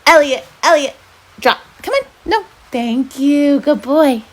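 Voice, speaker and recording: Animated woman reading a story, high-pitched. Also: slow, a little hiss or hum. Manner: animated, dramatic delivery. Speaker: volunteer children's book reader. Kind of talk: expressive storybook read-aloud.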